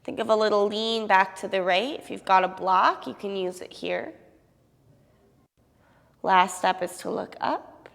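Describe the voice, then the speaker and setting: Sniffly woman calmly cueing a yoga class. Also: medium pitch, unhurried, creaky, pauses often, long reverb tail. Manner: calm, steady cueing with soft fillers. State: a bit sniffly from a cold. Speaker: yoga instructor. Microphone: clip-on mic.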